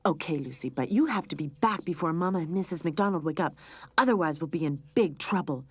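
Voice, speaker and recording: A woman's voice: deep voice, animated, a little fast, slightly rough, dry recording. A woman voicing a worried young boy cat who looks after his sister. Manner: worried, pleading. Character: young boy cat, anxious caring little brother.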